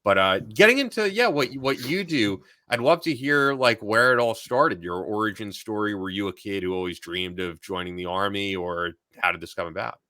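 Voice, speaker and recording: A man in his thirties hosting a podcast, asking questions in an upbeat, lively way. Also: fairly low pitch, moderate pace, few pauses, dry recording. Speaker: podcast host. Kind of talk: interview conversation. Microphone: studio mic on video call.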